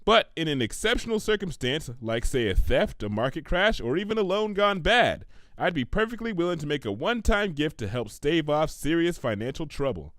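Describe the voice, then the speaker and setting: A bookish young female cartoon pony, as a man acts her out, prim and earnest. She lectures precisely and matter-of-factly, a little high for a male voice and full of lively inflection. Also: moderate pace, no room sound, clean studio sound.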